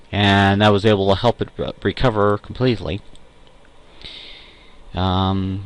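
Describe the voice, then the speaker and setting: Young adult male, low register, hesitant amateur audio-log host; casual, unscripted, stop-and-start delivery. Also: no echo. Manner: hesitant, halting, self-correcting, with frequent pauses. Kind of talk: casual unscripted tech and personal monologue.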